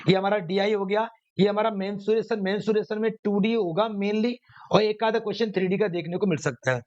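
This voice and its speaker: A man's voice: high voice, steady tone, a little fast, a little mellow. A man teaching an exam coaching class, emphatically repeating phrases and asking his own rhetorical questions. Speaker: maths teacher running online exam classes. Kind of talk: exam coaching lecture to students. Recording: dull, lo-fi sound.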